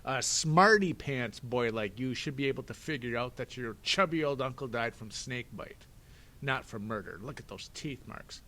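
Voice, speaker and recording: A man voicing a sneering, scheming villain who talks with mock politeness and drawn-out, theatrical menace. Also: medium pitch, lively intonation, sharp tone.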